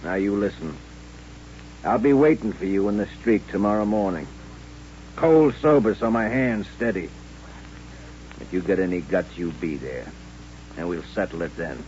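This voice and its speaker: An actor playing a drunken, aggrieved cowboy spoiling for a gunfight, blustering and threatening in old-time radio western style. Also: male voice, sits low, lively intonation, moderate pace, long pauses, darkish tone. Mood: bitter, threatening. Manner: blustering, threatening frontier talk. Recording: dull, noisy, humming old radio recording.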